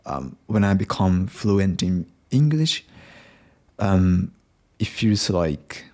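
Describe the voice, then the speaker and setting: A young man with a Japanese accent talks through his thoughts without a script, pausing often and filling gaps with hesitant ums. His voice sits a little low, and he speaks at a slow, unhurried pace. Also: lively intonation, darkish tone. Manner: hesitant, many ums and restarts. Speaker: podcast host. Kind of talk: unscripted solo talk.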